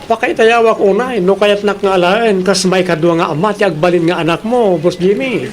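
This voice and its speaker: A man's voice: high-pitched, a little fast, natural voice. A man with a Filipino accent playing an eager, affectionate young husband and office worker in a radio drama. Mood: cheerful, deferential.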